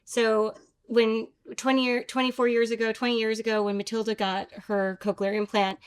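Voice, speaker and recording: A woman's voice: a little high, little pitch movement, normal speed, clear, resonant tone. A woman clinician explaining medical background in an interview with a confident, explanatory manner.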